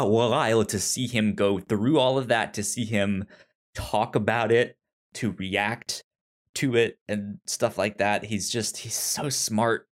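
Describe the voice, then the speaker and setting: A man co-hosting a casual film discussion, halting and often searching for his words. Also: on the low side. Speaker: podcast co-host.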